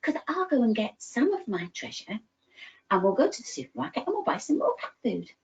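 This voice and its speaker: A woman voicing George, a gentle, forgetful male pirate in a children's story, speaking softly and half in a whisper. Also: on the high side, sing-song, brisk, voice pushed up. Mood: reassuring. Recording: dull lo-fi recording.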